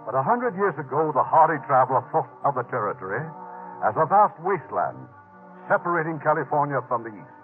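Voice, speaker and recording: A man hosting a 1950s radio drama, narrating from a script with a dramatic, deliberate storytelling delivery. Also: medium pitch, very animated. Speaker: host and producer. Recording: tinny vintage radio with music.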